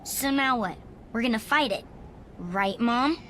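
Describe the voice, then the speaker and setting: An actress playing a bold, impatient young daughter in a video game, sassy and urgent in manner. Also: female voice, a little high, lively intonation, measured pace, fairly bright tone, a little hiss or hum. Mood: exasperated. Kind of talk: video game cutscene dialogue.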